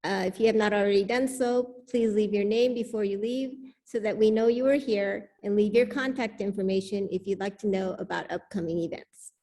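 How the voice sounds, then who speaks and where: A woman's voice: medium pitch, a little fast, few pauses, dark tone. A woman reading and making announcements as the worship leader of a church service, speaking into a pulpit microphone. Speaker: worship leader welcoming the congregation.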